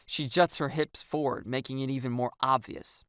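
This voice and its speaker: A narrator reading a first-person story with acted dialogue, in an expressive and wry storytelling manner. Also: medium pitch, normal speed, clean tone, dry recording, clean studio sound.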